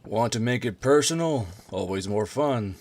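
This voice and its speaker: A man voicing a gruff, sardonic human soldier in a story reading, growling and teasing. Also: mid-range voice, animated, moderate pace, a little mellow.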